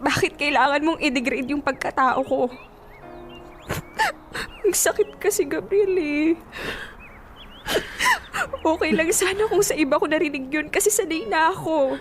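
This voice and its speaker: A woman's voice: sits high, a little slow, pauses often. An actress with a Filipino accent playing a hurt, spurned young woman, proud and reproachful, in an emotional radio drama. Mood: hurt, tearful. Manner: wounded, reproachful. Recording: music under the dialogue. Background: music.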